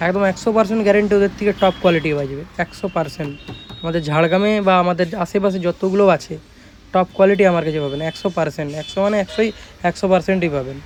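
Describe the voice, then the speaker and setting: A man's voice: a little high, slight background noise. A man with a West Bengal Bengali accent giving a casual product showcase as a shop owner, in emphatic, repetitive sales talk. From West Bengal, India. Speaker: speaker shop owner presenting his work.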